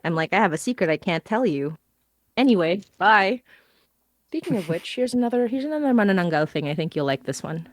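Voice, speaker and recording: A woman's voice: lowish voice, animated, fairly quick, no room sound, clean studio sound. A woman chatting casually on a livestream, bubbly and rambling, laughing often. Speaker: podcast guest, horror writer. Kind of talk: casual stream chat.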